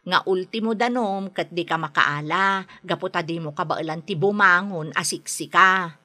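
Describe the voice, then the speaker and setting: An actress playing a concerned, scolding younger sister in a radio drama, with an Ilocano Filipino accent. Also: female voice, on the low side, normal speed, pushed and bright. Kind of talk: Philippine radio drama acting.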